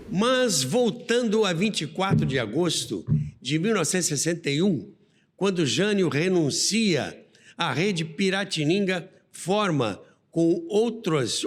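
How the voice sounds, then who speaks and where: A man with a Brazilian accent narrating a scripted documentary as the host of a radio history show. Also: high-pitched. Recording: long reverberant hall sound.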